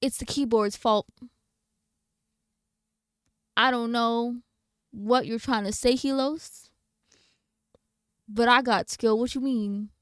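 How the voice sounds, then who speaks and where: A woman's voice: a little high, fairly even intonation, takes it slowly, pauses often, clean tone, dry recording, studio quality. A woman livestreamer chatting casually with her viewers in a playful, slangy, bantering manner. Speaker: video game livestreamer.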